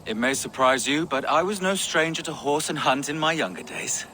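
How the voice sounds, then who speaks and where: An actor playing a righteous, resolute older Saxon bishop in a video game cutscene. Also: male voice, fairly high pitch, moderate pace, sharp tone, slight background noise. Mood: genial.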